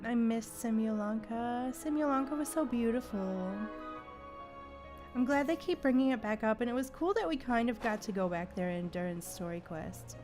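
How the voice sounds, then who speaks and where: A woman's voice: on the high side, normal speed. A woman livestreamer giving casual, chatty gameplay commentary and reacting aloud to the game. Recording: game music behind.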